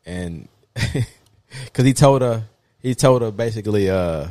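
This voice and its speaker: A man co-hosting a podcast in casual chat, talking in an informal, halting, rambling way. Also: lowish voice, lively intonation, mellow, dry recording. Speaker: podcast co-host.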